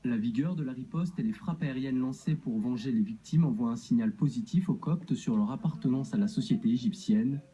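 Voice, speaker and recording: Man, news voice-over, very dark, measured reading. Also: medium pitch. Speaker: TV reporter. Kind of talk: scripted news narration.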